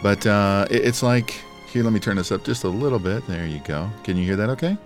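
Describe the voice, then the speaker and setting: A man's voice: lowish voice, fairly quick, soft background music. A man co-hosting a podcast in casual chat, playful and joking, his voice scratchy and dry from allergies. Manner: playful, joking, laughs often. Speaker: podcast co-host.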